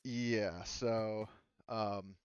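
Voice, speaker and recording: A man's voice: lowish voice, fairly even intonation, extremely slow, clean tone, no echo, dull audio. A man acting as game master, casually narrating and explaining rules in a hesitant, rambling way.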